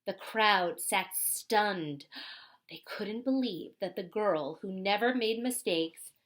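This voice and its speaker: A woman reading a children's story aloud expressively, with dramatic emphasis and pauses. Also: mid-range voice, fairly bright tone. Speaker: storybook reader.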